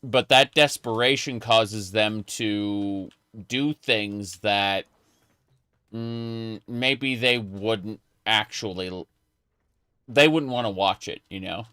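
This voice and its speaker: A man's voice: fairly low pitch, slow, pushed and bright, smooth, no room sound. A man streaming a late-night gaming chat, rambling casually with lots of fillers and restarts. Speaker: streamer and video creator.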